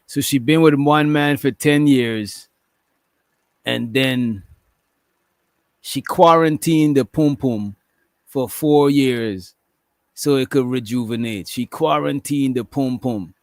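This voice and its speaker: A man's voice: mid-range voice, takes it slowly, long pauses, no echo. A man hosting a casual reaction commentary, swearing freely, laughing often and repeating "you know what I'm saying". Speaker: commentary show host.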